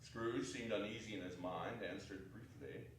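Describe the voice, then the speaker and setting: A man's voice: on the low side, moderate pace. A man narrating a scripted story as a dramatic reading. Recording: very echoey hall, distant mic, faint noise.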